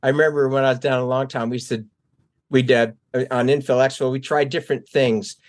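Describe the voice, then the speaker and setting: A man's voice: medium pitch, steady tone, fairly quick. An older man, a military veteran being interviewed, tells stories casually and acts out remembered dialogue as he goes. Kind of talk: casual war-story telling.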